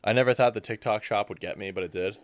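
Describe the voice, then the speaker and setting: A man's voice: on the low side, quick pace. A young man, a fitness coach guest, chatting casually and animatedly, with frequent fillers. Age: young adult. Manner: animated, fills speech with "like". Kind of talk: casual chat.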